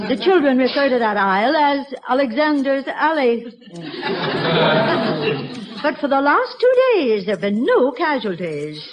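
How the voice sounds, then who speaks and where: An actress playing a prim, fussy schoolteacher with a precise, theatrical manner in a 1940s radio comedy. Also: female voice, high-pitched, poor audio. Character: prim, fussy schoolteacher who slips into comic slang. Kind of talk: 1940s radio sitcom acting. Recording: vintage 1940s radio broadcast.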